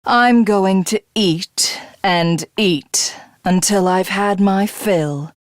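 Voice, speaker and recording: A woman's voice: mid-range voice, extremely slow, no echo, studio quality. A woman voicing a haughty alien villainess in a video game, grandiose, theatrical and condescending. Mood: smug, ambitious. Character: haughty female alien commander craving godhood.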